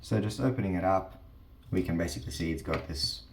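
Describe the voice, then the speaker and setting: Male host, extremely low pitch, informal demonstrator delivery, roomy echo. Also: a little fast, vocal fry, a little mellow, slight background noise. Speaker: host of a teardown channel. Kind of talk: casual hands-on explainer. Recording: roomy, echoing sound.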